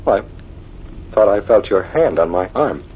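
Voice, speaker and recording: An actor playing a brave, reassuring man trapped in the dark in a 1940s radio horror drama, talking in a measured, hesitant way. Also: lowish voice, expressive. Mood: uneasy. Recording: dull, faintly noisy old radio recording.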